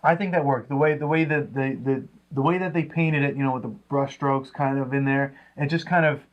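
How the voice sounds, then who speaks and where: A man co-hosting a casual review, speaking haltingly and repeating words as he reasons. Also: medium pitch, steady tone. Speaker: co-host of a comics review show. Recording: dull, lo-fi sound.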